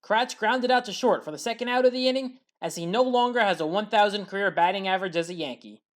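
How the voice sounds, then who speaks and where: A woman giving scripted play-by-play recap narration as a sports highlights commentator. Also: quick pace.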